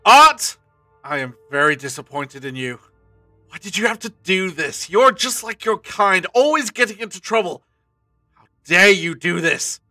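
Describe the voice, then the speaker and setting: Guy acting commanding time traveller hero, audio drama, bright sharp voice, faint music behind. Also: high-pitched, animated, a little slow, heavily acted. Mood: pleading, stern.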